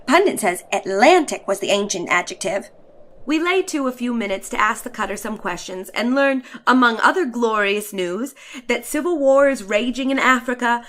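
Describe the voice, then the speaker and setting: A woman giving a dramatic, expressive audiobook reading of a satirical story in letters, stretching some phrases for effect. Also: fairly high pitch. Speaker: narrator of a classic short story.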